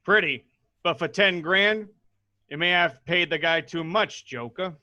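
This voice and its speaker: A man's voice: fairly high pitch, a little slow, sharp tone. A man voicing a dim, deferential gangster henchman in a script table read. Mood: unimpressed. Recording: dull, lo-fi microphone.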